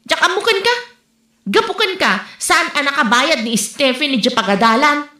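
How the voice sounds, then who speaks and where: Woman acting sulky, sharp-voiced elder sister, Ilocano accent. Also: high-pitched, animated, normal speed. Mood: accusing. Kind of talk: Ilocano comedy-drama acting. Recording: roomy echo, faint music.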